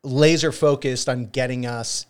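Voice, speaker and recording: A man with an American accent hosts a relaxed conversational interview. His delivery is informal and affirming, drawing the other person out with encouragement. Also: medium pitch, measured pace, smooth. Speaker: podcast interviewer.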